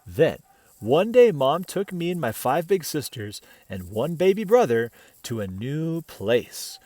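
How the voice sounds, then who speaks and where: A man reading a children's picture book aloud as an expressive storyteller, pausing for casual asides and questions to the listener. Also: on the high side, no echo.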